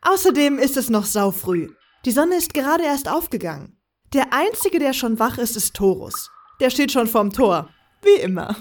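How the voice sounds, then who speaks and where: A woman acts out a young woman with a high voice, set a little back from the mic. She is breezy and sure of herself, always ready with a teasing jab. It is audio drama, played as a sneaky, carefree companion. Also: sing-song, normal speed, distant mic. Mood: teasing, amused. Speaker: voice actor in a fan audio drama. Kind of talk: German fan audio drama acting.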